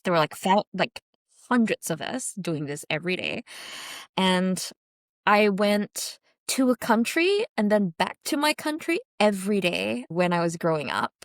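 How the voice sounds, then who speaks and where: A woman's voice: medium pitch, dry recording. Chatty woman from Malaysia, dry sound. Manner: chatty, laughs easily, frequent fillers and restarts. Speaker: podcast guest. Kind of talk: casual storytelling.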